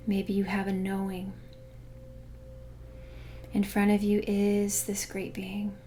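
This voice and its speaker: A woman guiding a meditation in a soothing, unhurried way, hushed in places. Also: medium pitch, slight background noise. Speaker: meditation guide. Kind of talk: guided meditation narration. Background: background music.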